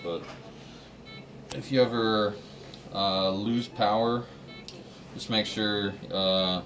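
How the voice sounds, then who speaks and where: A man's voice: fairly low pitch, slow, fairly bright tone, faint music behind. A man giving an informal, practical step-by-step walkthrough of equipment, as an installer would to staff. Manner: plain, practical, explaining step by step. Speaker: installer explaining a system to staff. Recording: noisy room, distant microphone.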